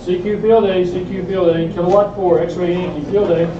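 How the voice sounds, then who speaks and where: A man's voice: high-pitched, steady tone, moderate pace, noisy background. A man casually demonstrating radio exchanges as hands-on practice. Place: indoor club meeting room. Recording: echoey room, distant dull mic, chatter around.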